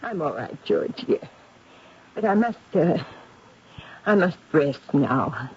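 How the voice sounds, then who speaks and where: A woman's voice: sits low, big pitch swings, takes it slowly, a little mellow, heavily acted. An actress playing a solemn, commanding aristocratic lady medium in a theatrical 1970s radio mystery. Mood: drained, troubled. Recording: muffled, hissy 1970s radio recording.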